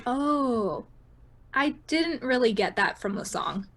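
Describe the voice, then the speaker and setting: College girl for an actress: slow, slightly high, deadpan and awkward, radio comedy drama. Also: full character voice. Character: Poppy, deadpan, awkwardly concerned college student.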